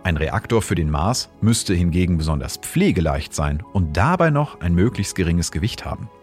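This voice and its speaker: A man hosting and narrating scripted science explanations in a calm, measured way. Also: sits low, faint music behind.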